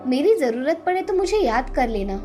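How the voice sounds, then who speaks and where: Lady doing a kind magical fairy queen, really high voice, storybook style, soft music behind. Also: big pitch swings, moderate pace. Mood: benevolent. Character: kindly magical female fairy queen, gracious helper. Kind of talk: children's storybook dialogue.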